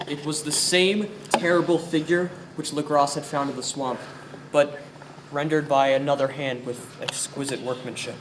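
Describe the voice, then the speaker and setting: A male actor performs an earnest investigator haunted by what he has learned. His radio-play delivery is formal and literary. The voice sounds distant over clear background noise. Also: fairly high pitch, steady tone, moderate pace, natural voice. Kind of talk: dramatic radio-play narration and dialogue. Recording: echoey, distant, noisy theatre recording.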